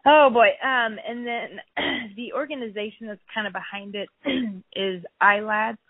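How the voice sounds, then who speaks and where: A woman guest on a podcast, chatting casually and laughing often, her voice a bit hoarse as she keeps clearing her throat. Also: fairly high pitch, steady tone, a little slow. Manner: giggly, says she is losing her voice. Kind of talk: casual interview chat. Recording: dry phone-like line.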